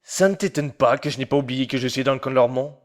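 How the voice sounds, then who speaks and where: A man playing a calm, matter-of-fact assassin in an amateur audio drama, with music underneath. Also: mid-range voice, quick pace, natural voice. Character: calm loyal male assassin, young adult.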